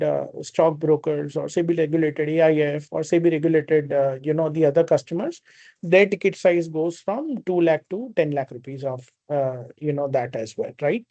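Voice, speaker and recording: An Indian man speaks as a company executive taking investor questions. His voice sits a little high for a man and comes through very dry, with no room sound. He explains step by step in a spoken, unscripted way. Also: darkish tone, no room sound, dull audio. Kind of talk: earnings call question and answer.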